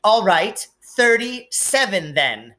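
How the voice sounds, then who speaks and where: A man voicing a spoiled, whiny, slow-witted young boy who drags out his words, as in a storybook. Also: sits high, very animated, pushed and bright, pitched above the performer's own voice, heavily acted. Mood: sulky. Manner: slow, drawn-out delivery.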